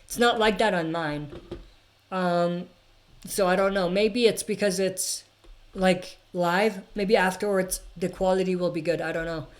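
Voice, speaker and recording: A woman's voice: fairly low pitch, steady tone, a little slow. A woman hosting a casual livestream, reading viewer comments aloud and answering them in an opinionated, rambling way with plenty of fillers. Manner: frequent fillers and restarts, opinionated. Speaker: livestream host answering chat questions. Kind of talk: casual live chat.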